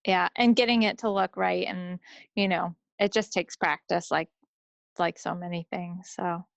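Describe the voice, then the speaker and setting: Easygoing woman with a creaky voice. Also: fairly low pitch, steady tone, measured pace, dry recording. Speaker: craft podcast co-host. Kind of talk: casual chat about projects. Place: recorded at own end of a remote video call.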